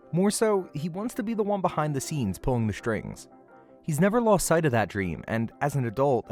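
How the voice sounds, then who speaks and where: Animated male reviewer over background music. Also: medium pitch. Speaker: host, anime reviewer. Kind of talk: scripted video essay narration, casual first-impressions review.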